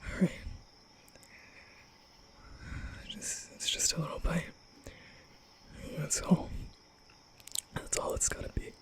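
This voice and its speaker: Nervous young male vampire, played by a man, for ASMR audio drama: halting, slow delivery, mostly whispered, awkward and inept. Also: bright, forceful tone. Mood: anxious muttering. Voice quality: breathy whispering. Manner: halting, stammering, muttering to himself.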